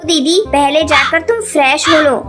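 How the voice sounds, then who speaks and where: A woman's voice: high-pitched, steady tone, measured pace, a bit bright. A woman voicing a boastful, greedy young female bird in a cartoon, disdainful in manner. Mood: haughty, disdainful. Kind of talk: Hindi cartoon voice acting. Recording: music behind the voice.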